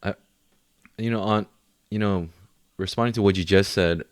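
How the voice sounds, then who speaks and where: Deep-voiced man, casual and bro-ish. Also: moderate pace, dry recording, clean studio sound. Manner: informal, bro-ish slang. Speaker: podcast co-host. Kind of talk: casual podcast discussion.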